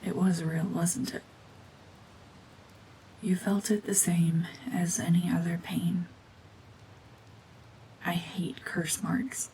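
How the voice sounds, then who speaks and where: A woman acting a cold, domineering mutant witch in an ASMR roleplay drama, hushed and often whispering, with terse, guarded commands. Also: fairly low pitch, flat delivery, takes it slowly, long pauses, slight background noise, rough recording. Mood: remorseful, tender. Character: renegade mutant cyborg gorgon witch, female, cold, domineering, slowly softening.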